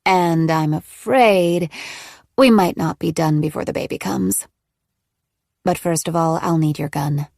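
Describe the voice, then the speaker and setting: A composed, menacing woman keeps her voice low and even while she threatens her captive, as a woman reading the story puts her on. The recording is close and dry. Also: gravelly, dry recording. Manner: calm, measured, coolly threatening. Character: cold, calm woman captor, quietly menacing.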